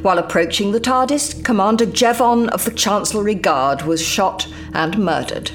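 An actress playing Romana, a composed female Time Lord leader who talks in a measured, dry way with frequent sighs. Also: mid-range voice, soft background music, close to an everyday voice. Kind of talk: audio drama acting.

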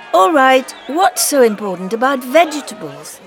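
An actress playing a lively, impatient young female travelling companion in an audio drama. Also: high voice, a little slow, a faint crowd, full character voice. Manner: bright, impatient, exclaiming.

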